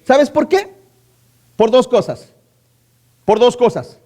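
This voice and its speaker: A man's voice: extremely high pitch, animated, slow, mellow. A male pastor preaching a sermon, emphatic, often repeating key phrases and asking the congregation rhetorical questions.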